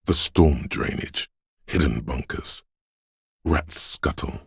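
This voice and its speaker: A brooding, ominous inner voice of a frozen, decaying city, narrates a video game in solemn literary phrases. The bass is exceptionally low, thick with gravel and heavily husky. Every line is measured and grave. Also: male voice, no echo, clean studio sound, full character voice. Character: brooding male inner voice sensing a desolate wintry city. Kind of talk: slow literary second-person game narration.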